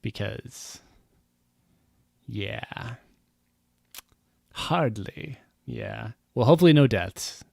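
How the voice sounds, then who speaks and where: A man with a gravelly, fry-edged voice talks casually and rambles over a game stream, wry and self-mocking. His voice is close and dry, with no room around it. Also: medium pitch, lively intonation, drawn-out words, long pauses, a little mellow, dry recording.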